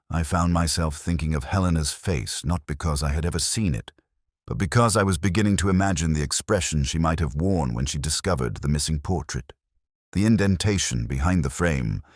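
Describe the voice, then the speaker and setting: Very deep-voiced man narrating a mystery. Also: slightly rough, no room sound, studio quality. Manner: measured, reflective, literary. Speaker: narrator of a detective story. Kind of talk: scripted first-person mystery narration.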